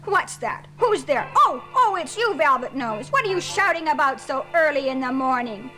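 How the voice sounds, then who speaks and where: A woman putting on an excitable young male rabbit, flustered and stammering, very high-pitched, with loud background music. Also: normal speed. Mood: grumpy.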